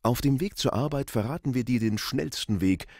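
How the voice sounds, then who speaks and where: A man voicing a friendly, smooth radio station promo announcer with deliberate emphasis, close on a studio microphone. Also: medium pitch, a little mellow, no echo, pitched above the performer's own voice, lightly acted. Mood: friendly, upbeat. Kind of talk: scripted radio promo read.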